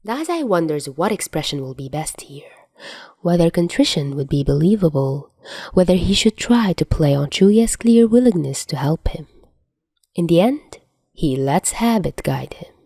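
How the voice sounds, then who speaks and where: A woman reading a story aloud as an audiobook narrator, in an expressive scripted style. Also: on the low side, normal speed, husky, dry recording, studio quality. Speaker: fan fiction audiobook narrator.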